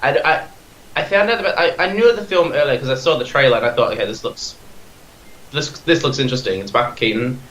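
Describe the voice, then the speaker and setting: A man's voice: mid-range voice, fast, a bit bright, echoey room, low-quality recording. A young man in his twenties with an Australian accent, talking as a podcast guest in a rambling, eager way full of fillers and restarts. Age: 20s. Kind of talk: casual chat.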